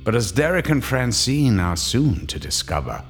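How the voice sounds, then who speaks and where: An actor playing an ominous, knowing narrator introducing a horror audio drama. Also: male voice, medium pitch, very animated, normal speed, gravelly, faint music behind.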